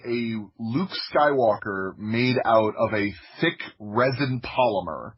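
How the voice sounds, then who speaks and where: A man from the United States telling personal stories casually as a podcast guest, in an informal, humorous way with a husky voice. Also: mid-range voice, highs cut off. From United States (Florida). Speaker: podcast guest, audio drama creator.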